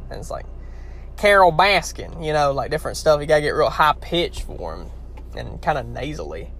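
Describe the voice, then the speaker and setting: A man's voice: on the high side, very animated, normal speed, fairly bright tone, slight background noise. A young man from Louisiana with a heavy Southern accent, chatting casually and rambling to his viewers. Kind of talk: casual talk to camera.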